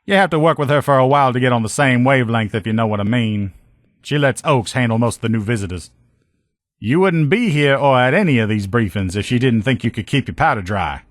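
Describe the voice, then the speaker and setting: A man's voice: mid-range voice, lively intonation, a little fast, no echo, clean studio sound, natural voice. A man voicing a slangy, good-humored veteran lieutenant and mechanic in a video game. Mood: easygoing, reassuring. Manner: folksy, slangy, talkative. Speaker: video game character voice actor. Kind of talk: video game dialogue lines.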